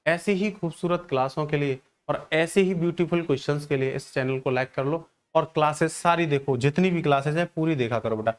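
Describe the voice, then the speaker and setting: A man's voice: a little high, smooth. A man teaching maths, working problems aloud, repeating phrases and addressing his students affectionately as he asks and answers his own questions. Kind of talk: exam-coaching lesson, solving problems aloud.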